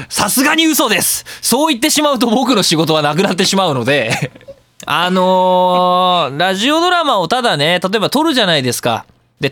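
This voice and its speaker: Lively, quick-talking male radio host. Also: high voice, dry recording. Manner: polite, self-joking, explanatory. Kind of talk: casual solo talk show on audio production. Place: recording studio.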